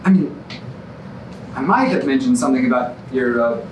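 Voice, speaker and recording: Falsely serene, smug male contestant, acted by a man, lively intonation, stage comedy; very echoey pickup. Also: mid-range voice, moderate pace, lightly acted. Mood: defensive. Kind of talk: musical comedy staged reading. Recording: very echoey, noisy, distant stage recording.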